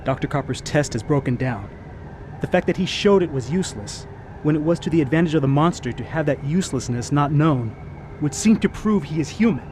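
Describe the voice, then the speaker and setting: An actor in a radio drama playing a burdened expedition leader, speaking in a solemn, formal way. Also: male voice, fairly high pitch, lively intonation, darkish tone, a little hiss or hum, close to an everyday voice. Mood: grave resignation. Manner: formal, solemn, deliberate wording. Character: grave expedition leader, burdened, stepping down from command.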